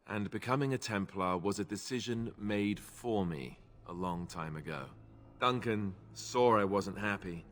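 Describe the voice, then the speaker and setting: An actor playing a young, earnest and wry warrior knight in a video game, politely spoken. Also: male voice, fairly low pitch, fairly bright tone, close to an everyday voice. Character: young Templar-trained Grey Warden, earnest, wry. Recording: long reverb, music behind. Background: game music.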